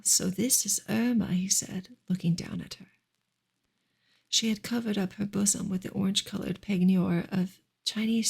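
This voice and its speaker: A woman reads fiction aloud as soft ASMR narration. Her voice is breathy and husky, often drifting into a whisper, with a gravelly crackle at the edges. She keeps a gentle, measured delivery. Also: mid-range voice. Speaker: audiobook-style reader, ASMR host. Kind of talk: soft ASMR novel reading.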